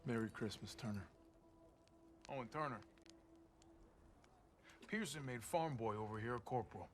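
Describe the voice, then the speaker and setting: An actor playing a wry infantryman talking quietly and informally in a war video game. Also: mid-range voice, moderate pace, a little hiss or hum, lightly acted. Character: wry American infantryman talking to his buddies. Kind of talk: video game cinematic acting.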